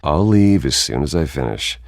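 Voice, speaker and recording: A man acting as a brooding, methodical masked vigilante in a video game, musing to himself in a measured, low-key way. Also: lively intonation, creaky, no room sound, studio quality, heavily acted. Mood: focused, brooding.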